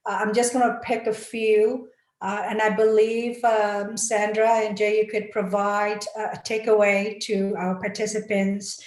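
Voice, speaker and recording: A woman's voice: mid-range voice, flat delivery, unhurried, darkish tone. Earnest woman, echoey call. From Malaysia. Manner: earnest and encouraging, with frequent fillers and restarts. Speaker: panel speaker. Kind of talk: motivational talk on a webinar panel. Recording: echoey room.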